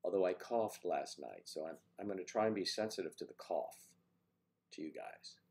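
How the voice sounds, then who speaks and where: An older man with a congested, deep voice, chatting casually and teasingly on his morning livestream, greeting viewers by name. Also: moderate pace, a little mellow. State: congested with a cold. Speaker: livestream host.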